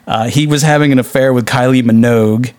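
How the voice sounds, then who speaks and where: A man's voice: mid-range voice, fairly even intonation, a little fast, a little mellow, no echo. Casual man chatting on a dry recording. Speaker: podcast co-host. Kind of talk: casual chat about movies.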